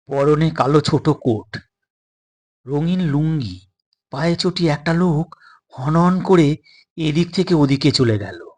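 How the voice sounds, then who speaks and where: A man's voice: a little high, lively intonation, slow pace, long pauses, a little mellow. A man reading a scripted suspense story as its narrator.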